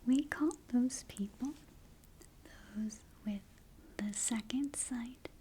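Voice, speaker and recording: A woman voicing a ghost guide in an ASMR roleplay, in a breathy whisper with slow, hushed, deliberate instructions. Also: on the high side, slight background noise. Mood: hushed, cautioning. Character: ghost guide briefing a newcomer to the afterlife. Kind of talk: soft-spoken ASMR roleplay.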